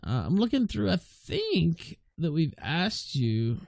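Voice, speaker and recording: Male livestream host, informal and chuckly, slightly high voice, very dry sound. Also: wildly swooping pitch, slow pace, creaky, darkish tone, dry recording. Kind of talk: casual live interview, reading viewer questions.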